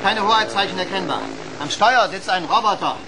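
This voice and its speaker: A serious, brisk radar officer delivers formal, exact reports. The voice is high for a man, over clearly audible noise. It is acted in the manner of a children's radio play. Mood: serious, urgent.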